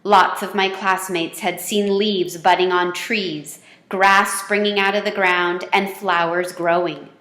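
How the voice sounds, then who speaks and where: A woman's voice: lowish voice, fairly even intonation, slow, hardly any pauses, a bit bright. A woman reading a children's storybook aloud as the storyteller. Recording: echoey, roomy sound.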